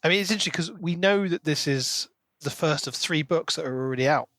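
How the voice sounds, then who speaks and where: A man with a slightly high voice co-hosts a book podcast. He chats casually, and the recording is very dry, with no room sound. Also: fast talker, a bit bright, dry recording. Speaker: podcast co-host.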